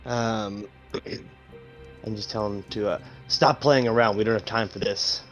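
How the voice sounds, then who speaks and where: Low, halting male tabletop player. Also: steady tone, a bit bright, soft background music. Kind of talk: casual game chat.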